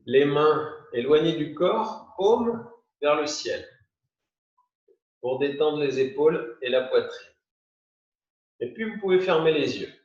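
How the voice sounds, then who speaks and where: A man teaching yoga nidra, guiding a meditation in short, unhurried phrases with pauses. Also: on the high side, animated, a little mellow. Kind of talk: guided meditation, spoken instructions. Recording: muffled, roomy, distant from the mic.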